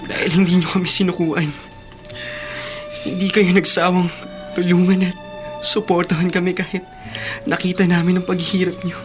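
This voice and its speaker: Actor as an earnest young Filipino nephew, evenly pitched. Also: male voice, little pitch movement, moderate pace, pauses often, over music, close to an everyday voice. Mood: earnest gratitude. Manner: respectful, sincere. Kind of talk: Filipino radio drama acting.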